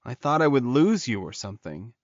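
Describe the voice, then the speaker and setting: A man's voice: mid-range voice, big pitch swings, brisk, no echo, highs cut off, lightly acted. A man playing a worried, protective young male werewolf in an audiobook, earnest and consoling.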